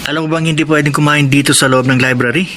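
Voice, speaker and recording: A direct young male student, talks fast in a bright, forceful voice. It is assertive radio drama acting with faint noise behind. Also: a little high, steady tone. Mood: direct, helpful. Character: forthright, helpful young male college student.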